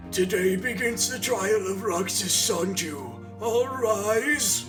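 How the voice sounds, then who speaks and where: A man's voice: sits high, slow pace, far from the mic, over loud music. A man voicing a stern, ceremonious judge who speaks formally in a video game parody skit. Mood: solemn, stern. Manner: formal, pronouncing.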